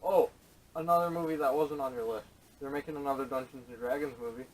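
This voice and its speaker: A man's voice: mid-range voice, steady tone, fast talker. Blunt man on a distant mic. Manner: blunt, opinionated, casual swearing. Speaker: podcast panelist. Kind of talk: casual opinionated chat. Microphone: camera microphone at a distance. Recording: distant camera microphone, faint noise.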